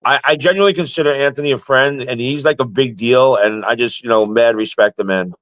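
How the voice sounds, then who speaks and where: A man's voice: mid-range voice, brisk, fairly bright tone, no room sound, rough recording. Blunt, swearing man with a stutter. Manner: blunt, swears freely, repeats words when starting. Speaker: guest. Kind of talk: casual chat.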